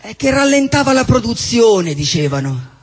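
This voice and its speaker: A man's voice: very high-pitched, very animated, moderate pace, over music. A man acting in a live stage dialogue, glum and hesitant, often repeating his words. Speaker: stage actor. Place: theatre stage.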